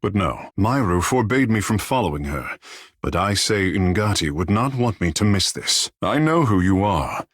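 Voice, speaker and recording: A man playing a vain, flirtatious, sea-blessed godlike artist in a video game, husky and theatrical, with florid, playful delivery. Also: deep voice, big pitch swings, moderate pace, fairly bright tone, no room sound, clean studio sound, exaggerated voice. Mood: flirtatious, teasing. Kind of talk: video game character dialogue.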